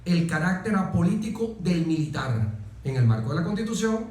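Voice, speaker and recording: A man's voice: high voice, big pitch swings, normal speed, a little mellow. A middle-aged Venezuelan man telling stories conversationally as an interview guest, repeating words for emphasis and checking in with short tag questions. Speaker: interview guest, former military aide. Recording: echoey room, distant from the mic.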